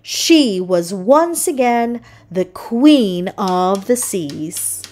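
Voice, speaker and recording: Very slow, animated woman storyteller. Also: mid-range voice, slight background noise. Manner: dramatic, deliberate phrasing. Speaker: storybook narrator. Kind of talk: expressive children's read-aloud narration.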